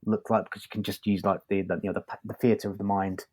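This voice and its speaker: A man co-hosting a podcast, chatting casually in a halting way and often repeating words as he goes. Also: lowish voice, flat delivery, a little mellow. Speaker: podcast host and reviewer. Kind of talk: casual review chat.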